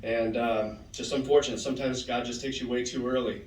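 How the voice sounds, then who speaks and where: A man's voice: mid-range voice, fairly quick, slight background noise. A man giving a heartfelt tribute to a former teammate, telling stories and quoting him. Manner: storytelling, animated quoting. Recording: roomy hall, voice from far off.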